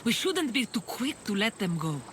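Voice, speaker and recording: A woman's voice: on the high side, big pitch swings, moderate pace, fairly bright tone, slight background noise. Seasoned rebel lady, caring, regretful, game scene, insects chirping behind. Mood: regretful, tender. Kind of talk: video game cutscene acting.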